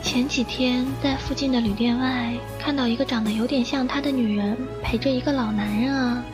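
A woman plays a gossiping coworker in an audio drama, noncommittal and slow to get things out. Her voice is slightly high, with clear music underneath. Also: steady tone, natural voice. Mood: gossipy. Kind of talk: Chinese audio drama acting.

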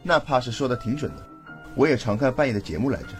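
A man's voice: medium pitch, animated, fast talker, faint music behind, natural voice. An actor playing a tipsy, laid-back young man adrift at sea in an audio drama, wry and casual in manner. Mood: wistful, wry.

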